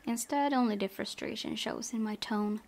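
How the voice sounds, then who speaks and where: A woman's voice: a little high, normal speed, gravelly. A young woman hosting a let's play, reading visual novel narration aloud expressively.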